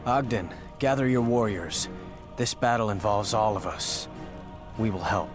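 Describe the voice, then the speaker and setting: A man's voice: fairly low pitch, measured pace, natural voice. A man playing a resolute human hero in a fantasy game, earnest and decisive. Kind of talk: dramatic fantasy game acting. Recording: music behind the voice.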